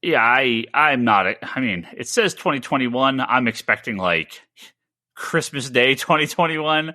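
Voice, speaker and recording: A man's voice: medium pitch, expressive, a little slow, bright, forceful tone, dry recording, studio quality. A man hosting a casual comics news podcast, talking emphatically and often repeating words for emphasis. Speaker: podcast host.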